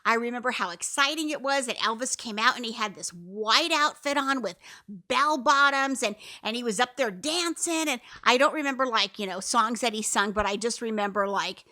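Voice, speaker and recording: A woman's voice: fairly high pitch, moderate pace, bright, forceful tone. A woman in her fifties who co-hosts a casual podcast, telling stories and often turning to her listeners. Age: 50s. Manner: chatty storyteller who keeps addressing listeners. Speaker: podcast co-host, mother. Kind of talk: casual chat.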